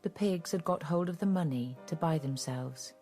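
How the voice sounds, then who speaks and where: Grave storyteller for a radio play, low soft dark voice, measured delivery. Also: female voice, faint music behind. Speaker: narrator. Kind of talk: scripted dramatic storytelling narration.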